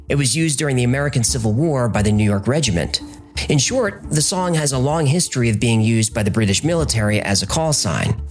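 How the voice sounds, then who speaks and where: A man delivers scripted documentary narration for a podcast. His mid-range voice moves with lively, varied intonation, and soft music plays underneath. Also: medium pitch.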